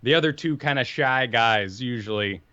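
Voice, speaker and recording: A man co-hosting a gaming podcast, talking energetically and assertively in a deep voice into a studio microphone. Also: normal speed, pushed and bright, dry recording, highs cut off. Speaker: podcast co-host. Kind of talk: casual gaming podcast talk.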